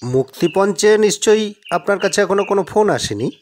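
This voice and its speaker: A man's voice: high voice, lively intonation, fairly quick, darkish tone, slight background noise. A man playing a polite, inquisitive amateur detective, asking probing, matter-of-fact questions in an audio story.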